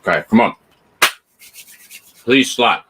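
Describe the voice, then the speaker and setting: Croaky nervous streamer man. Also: medium pitch, expressive, slow, fairly bright tone, faint music behind. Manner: nervous, swearing, pleading. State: croaky morning voice. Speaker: streamer hosting a watch party. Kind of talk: live reaction commentary.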